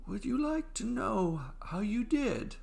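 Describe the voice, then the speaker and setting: A man voicing an old professor with a slow, drawn-out, formal manner in a storybook reading. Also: very high voice, very animated, gravelly, a little mellow, pitched above the performer's own voice, full character voice. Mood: stern, formal.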